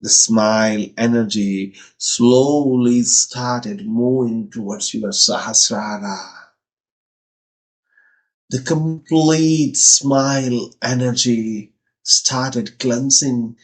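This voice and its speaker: A man's voice: medium pitch, rough recording. Indian man leading guided meditation. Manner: measured short phrases, repetitive, incantatory.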